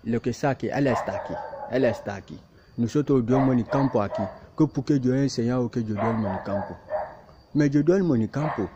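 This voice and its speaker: A man speaking halting, repetitive second-language Spanish, insisting and defending himself in a self-recorded plea, outdoors in a field. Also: mid-range voice. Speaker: migrant speaking to camera. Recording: muffled with clear background noise and barking dog.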